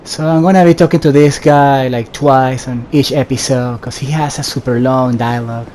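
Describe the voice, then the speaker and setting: A man's voice: mid-range voice, fairly even intonation, moderate pace, darkish tone, slight background noise. A man giving casual commentary as he records his video game playthrough.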